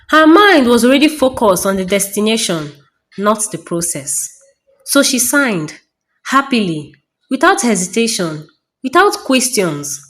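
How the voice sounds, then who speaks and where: A woman narrating a scripted dramatic story in measured short phrases with deliberate pauses. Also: on the high side, a bit bright.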